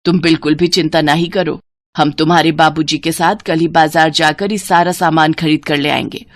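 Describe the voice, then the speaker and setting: Woman playing a gentle, reassuring middle-aged mother. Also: sits low, fairly even intonation, moderate pace, dry recording.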